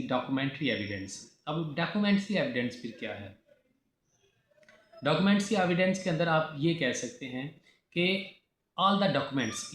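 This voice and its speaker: A man's voice: fairly high pitch, fairly bright tone. A man from Pakistan with a Pakistani accent, giving a didactic law lecture, repeating key definitions and posing rhetorical questions. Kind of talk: classroom lecture mixing Urdu with English terms. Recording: echoey room with faint background noise.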